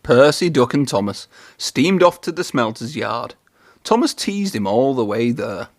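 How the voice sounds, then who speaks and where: A man reading a children's story aloud in an expressive narrating style. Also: medium pitch, a little slow, no echo.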